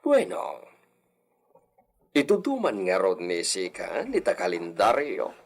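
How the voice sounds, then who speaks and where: A man's voice: low voice, huge pitch swings, slow pace, husky, heavily acted. An actor playing a lordly señor and father in an audio drama, drawing out words and sprinkling in Spanish. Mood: doting, amused. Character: haughty Spanish-speckled señor, doting father of little girl. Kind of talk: Filipino audio drama acting.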